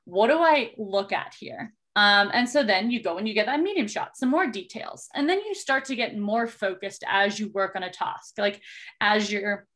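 A woman with a South African accent leads an online workshop over a video call, explaining shot types as her slides go by. Her pitch sits mid-range for a woman, and she talks in a chatty, explanatory way, with plenty of ums and quick asides. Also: fairly bright tone.

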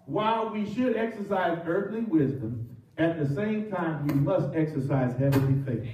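A middle-aged man preaching a sermon as pastor, animated and full of call-and-response. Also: a little high, normal speed. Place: church sanctuary with cross wall. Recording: roomy church from far off.

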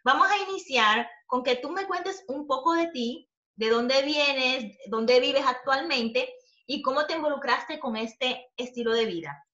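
A woman's voice: sits high, unhurried, sharp tone. A young adult woman hosting an upbeat, conversational interview as a coach over a video call from a room at home. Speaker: host, coach. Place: small home room, indoors. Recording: muffled, roomy video call audio.

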